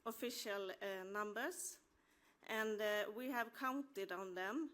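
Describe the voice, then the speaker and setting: A woman's voice: medium pitch, slow pace, a bit bright. Hesitant Swedish-accented woman farmer. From west coast of Sweden. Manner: hesitant, searching for words with many fillers. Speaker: dairy farmer asking a question. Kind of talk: audience question at a panel.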